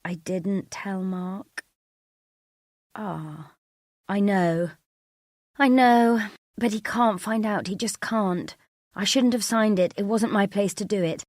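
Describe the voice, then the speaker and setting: A woman voicing an anxious, apologetic and easily overwhelmed woman in an audiobook story, pleading and halting. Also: on the low side, a little mellow, no room sound, clean studio sound. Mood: panicked, pleading. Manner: pleading, halting, self-excusing.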